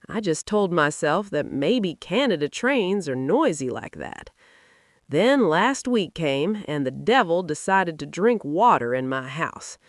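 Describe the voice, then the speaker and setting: A woman performs a proud Nigerian market mother recounting her own folktale with bitter regret. Her Nigerian-accented voice sits at a middling pitch and moves in lively, emphatic swings. The storytelling is dramatic, recorded very dry with no room around it. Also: no echo. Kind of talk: dramatic first-person folktale narration.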